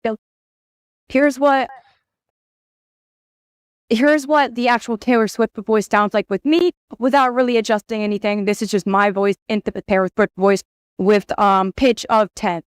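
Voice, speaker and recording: A man using a real-time AI voice changer, pitched up to sound like a casually chatting young female pop singer. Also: fairly even intonation, a little slow, pauses often, smooth, no room sound, clean studio sound.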